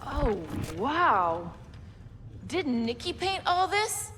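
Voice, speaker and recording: A level-headed female alien warrior delivers dry, practical lines in a video game. Her voice is high and expressive, carrying a long reverb. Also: extremely slow, exaggerated voice. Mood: curious, dry. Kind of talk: video game cutscene voice acting.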